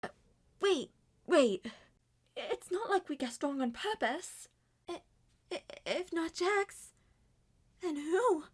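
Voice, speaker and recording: Woman putting on an anxious, high-voiced cartoon girl. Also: expressive, slow, pauses often, heavily acted. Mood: panicked, tearful. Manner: nervous, pleading, stammering. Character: anxious, timid young female cartoon character. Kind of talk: amateur roleplay audio drama.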